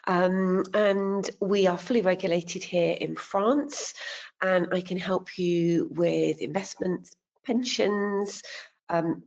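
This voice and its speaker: A woman who works as a financial adviser, briskly introducing herself on a webinar panel over a video call. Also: medium pitch, a little mellow. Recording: muffled video call audio.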